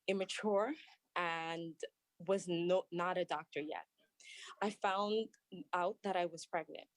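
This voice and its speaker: Low-voiced woman giving earnest testimony. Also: no echo. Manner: earnest, halting with restarts. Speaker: doctor testifying at a hearing. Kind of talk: prepared testimony, read aloud. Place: at work, remote testimony.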